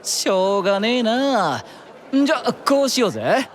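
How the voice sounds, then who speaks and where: An actor playing a brash young male demon in an anime-style audio drama, rough and cocky but easily flustered into defensive stammering. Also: high voice, big pitch swings, a little slow, slight background noise. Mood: cocky, competitive.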